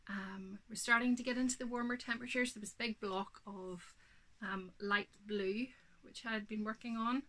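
A woman's voice: medium pitch, steady tone, sharp tone. A woman in her thirties with a Northern Irish accent, chatting casually about her knitting at home, a little snuffly with hay fever and full of ums. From Northern Ireland. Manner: chatty, unhurried, many ums. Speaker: knitting podcast host. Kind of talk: casual show-and-tell chat. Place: home craft room, indoors.

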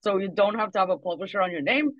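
A woman's voice: medium pitch, very fast, no room sound. Woman from Portugal, thirties, casual guest, muffled dry call sound. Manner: casual, laughs easily. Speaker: guest, software engineer. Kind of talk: casual interview. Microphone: video call. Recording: dull dry video call audio.

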